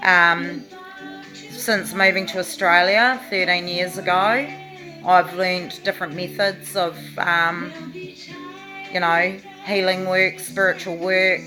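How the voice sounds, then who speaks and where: Slow, low-voiced New woman. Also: music in the background, poor audio. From New Zealand. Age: 40s. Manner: rambling, many fillers and restarts. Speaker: vlogger. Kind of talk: casual talking to camera.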